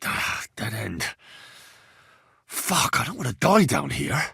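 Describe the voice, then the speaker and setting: A young medieval video game hero, with a slightly husky voice recorded close and dry. He is earnest and wry, mutters his thoughts to himself and curses readily, with pitch that moves widely. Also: wildly swooping pitch, slow pace, bright, forceful tone, dry recording, heavily acted. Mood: frustrated, cursing. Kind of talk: acted video game voice lines.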